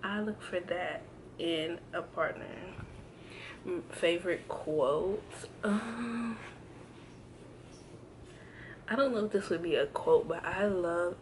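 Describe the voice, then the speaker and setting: Slow, easygoing young woman vlogger. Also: fairly low pitch, noisy background. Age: 20s. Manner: relaxed, hesitant, many ums and restarts. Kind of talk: casual tag video chat. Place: indoors at home, in front of a backdrop. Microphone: camera framed close, seated.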